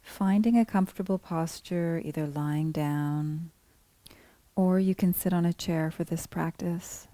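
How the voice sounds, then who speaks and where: A woman guiding a body scan meditation as a teacher, speaking calmly in measured, gently spaced phrases. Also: lowish voice, dry recording. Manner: calm, unhurried, gently measured phrasing with pauses. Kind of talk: guided meditation, scripted instruction.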